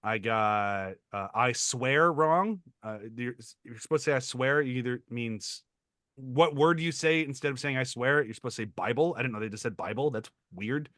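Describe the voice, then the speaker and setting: A man's voice: medium pitch, normal speed, fairly bright tone, no echo, clean studio sound. A man co-hosting a podcast, rambling casually and laughing as he talks. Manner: rambling, laughs while talking. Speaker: podcast co-host. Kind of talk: casual chat.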